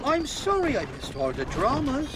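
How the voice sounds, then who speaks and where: An actor playing a shifty, boastful and cowardly Irish outlaw with a glib, wheedling, joking way of talking. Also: male voice, very high-pitched, wildly swooping pitch, normal speed, full character voice. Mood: cheeky. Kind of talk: video game cutscene acting. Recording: noisy outdoor game sound effects.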